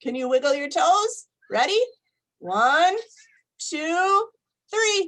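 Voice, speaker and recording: Slow-paced, higher-voiced woman reading a storybook. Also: rough recording. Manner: slow, deliberate, expressive, asks listeners questions. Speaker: storytime reader for young children. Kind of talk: animated picture-book read-aloud to children.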